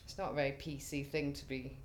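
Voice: mid-range voice, little pitch movement, brisk, slight background noise. A middle-aged native Welsh speaker with a Welsh accent, telling stories in an interview with many fillers and restarts. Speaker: interviewee, community cafe organiser. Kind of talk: relaxed storytelling interview.

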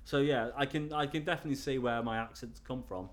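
A man's voice: medium pitch, fast talker. A middle-aged man from North Wales with a Scouse accent, talking casually in an interview and rambling with lots of fillers and restarts.

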